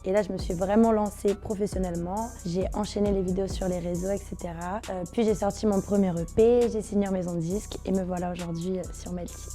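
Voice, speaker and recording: A woman in her twenties from Brazil, a singer talking casually about her career, relaxed and slangy, often hesitating. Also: medium pitch, quick pace, few pauses, over music. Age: 20s. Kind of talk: casual interview answers.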